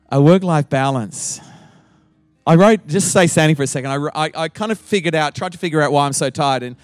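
A man's voice: on the high side, moderate pace, pauses often, no echo. A middle-aged man with an Australian accent, a guest pastor opening his sermon with playful teasing and frequent laughter.

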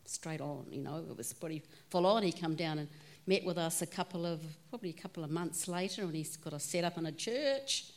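A woman with a low voice and a New Zealand accent tells her faith story in a plainspoken, conversational way. She speaks as an interview guest at a church. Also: brisk.

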